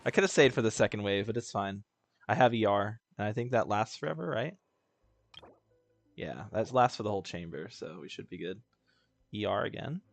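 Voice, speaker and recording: A man's voice: lowish voice, animated, a little fast, vocal fry, long pauses. A young man streaming a game, chatting casually and joking at himself, with the odd curse. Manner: laid-back, self-mocking, swears casually. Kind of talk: casual gameplay commentary.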